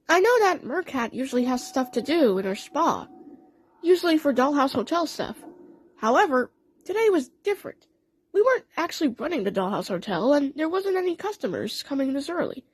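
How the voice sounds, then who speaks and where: A woman reading out a scripted story with an expressive, storytelling delivery. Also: high-pitched. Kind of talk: scripted fan story narration.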